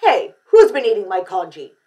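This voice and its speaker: A woman voicing a loud, indignant father in a storybook, bellowing and demanding. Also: mid-range voice, wildly swooping pitch, normal speed, dull audio.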